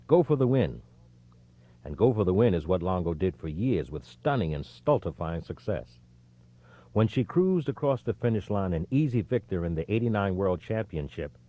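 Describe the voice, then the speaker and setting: Low, expressive male audiobook narrator. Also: lo-fi sound. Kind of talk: scripted nonfiction audiobook narration.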